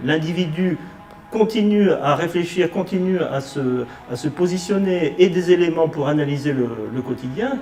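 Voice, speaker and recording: Male, middle-aged, halting interview delivery, echoey room with guitar music underneath. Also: a little high, warm tone. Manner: halting, reflective, searching for words. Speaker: cultural youth worker. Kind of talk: documentary interview, unscripted. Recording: roomy echo, music underneath.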